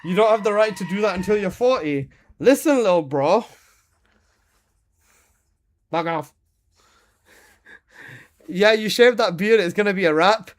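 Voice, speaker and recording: A man's voice: high voice, lively intonation, measured pace, long pauses. A man in his twenties streaming a game, chatting casually with his chat and bantering with lots of swearing and laughter. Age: 20s.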